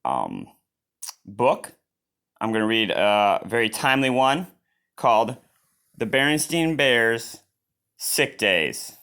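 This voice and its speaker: A man reads a children's storybook aloud in an expressive manner, adding his own exclaiming asides. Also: mid-range voice, slow, pauses often, clear, resonant tone. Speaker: storybook reader.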